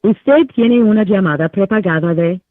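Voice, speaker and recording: A woman's voice: medium pitch, normal speed, no echo. A woman's formal, impersonal recorded voice giving automated phone-system announcements over a telephone line. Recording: dry, tinny narrow-band phone line.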